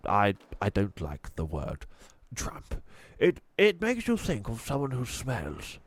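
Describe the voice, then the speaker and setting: Man acting a genteel old tramp, swooping pitch. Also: huge pitch swings, takes it slowly, slightly rough, no room sound, heavily acted. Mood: dignified. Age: older. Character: genteel old male tramp, courteous, quaintly well-spoken.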